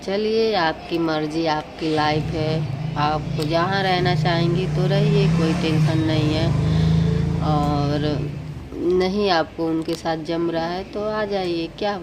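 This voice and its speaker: Low-pitched woman vlogger, noisy recording. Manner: conversational, repeats phrases, addresses viewers as friends. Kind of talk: casual chat to viewers.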